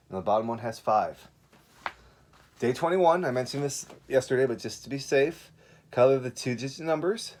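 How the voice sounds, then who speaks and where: A male teacher reads and explains for young children. His pitch is very animated, and he gives simple instructions with casual asides, repeating them as he goes. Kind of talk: read-aloud and lesson for young children.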